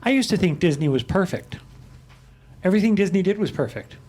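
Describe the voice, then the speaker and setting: A man's voice: high-pitched, expressive, fairly quick, a little mellow. A man chatting casually as a panelist on a podcast. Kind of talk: casual chat.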